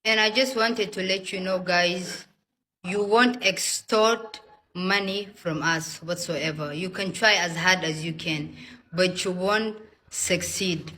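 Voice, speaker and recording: A woman speaking directly to listeners in an earnest, pleading and emphatic appeal, choosing her words deliberately. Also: on the low side, bright, forceful tone. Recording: noisy, with background ambience.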